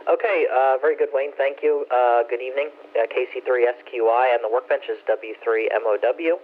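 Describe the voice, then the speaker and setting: A man's voice: medium pitch, fairly even intonation, brisk. A man moderating an amateur radio net in an informal, courteous, unscripted way. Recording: muffled two-way ham radio transmission.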